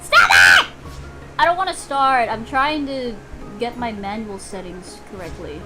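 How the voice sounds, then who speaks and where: A woman's voice: on the high side, big pitch swings, unhurried, raised voice, over loud music, low-quality recording. A woman doing casual live gameplay commentary in a playful, exclamatory way, swearing freely. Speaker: game streamer.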